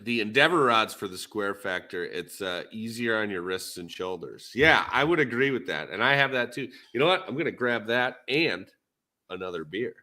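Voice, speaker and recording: A man hosting a podcast, talking casual shop in a laid-back, halting way, thinking aloud as he goes. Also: fairly low pitch, expressive, bright, forceful tone. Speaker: podcast host. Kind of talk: casual shop talk on a livestream.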